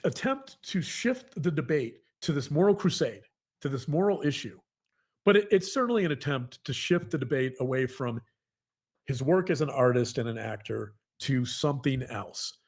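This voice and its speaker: A man talking earnestly to a co-host in a halting, repetitive, emphatic conversational monologue. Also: medium pitch, breathy edge. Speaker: podcast co-host, psychologist.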